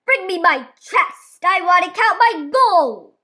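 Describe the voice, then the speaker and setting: A bellowing, greedy giant from a storybook, as a woman reader puts him on. He booms out loud and menacing, with a bright, forceful edge to his voice. The pace stays slow and heavy. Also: expressive, full character voice. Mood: demanding. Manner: booming, bellowing. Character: huge angry male giant, greedy and menacing.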